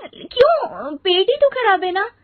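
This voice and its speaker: A woman's voice: extremely high pitch, big pitch swings, takes it slowly, dry recording, narrow, tinny audio, voice pushed up, full character voice. A woman voicing an eager, anxious young daughter-in-law in a cartoon story. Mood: distressed.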